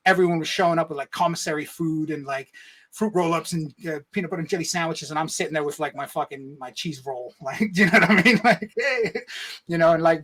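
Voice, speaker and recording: A man's voice: a little high, fairly bright tone, breathy edge. A man from Egypt telling a personal story in a casual interview, rambling and swearing, with frequent "right?" tags. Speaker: podcast guest.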